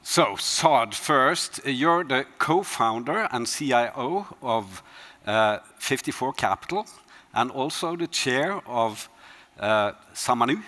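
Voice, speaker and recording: A man's voice: mid-range voice, lively intonation, takes it slowly, sharp tone. Man moderating a conference panel. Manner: friendly questions, frequent fillers. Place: conference stage.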